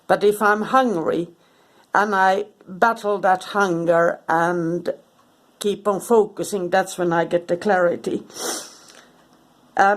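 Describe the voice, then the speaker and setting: A woman with a cold, sniffling, giving a casual, halting talk full of ums and restarts. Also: fairly low pitch. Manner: hesitant, many ums and restarts. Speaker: spiritual content creator. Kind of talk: casual informal talk to camera.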